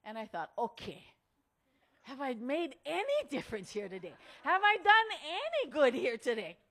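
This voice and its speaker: A middle-aged or older woman, giving a motivational storytelling speech in an earnest, deliberate way with dramatic pauses. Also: high voice, wildly swooping pitch, a bit bright. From Hawaii. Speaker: speaker at a women's conference.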